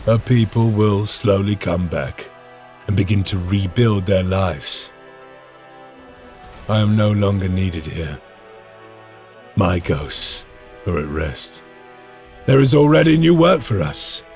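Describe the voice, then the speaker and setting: An actor playing a stern veteran space marine lieutenant in a game cutscene, grave and solemn. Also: male voice, low voice, lively intonation, slow, long pauses, exaggerated voice. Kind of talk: video game cutscene acting. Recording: game audio over music.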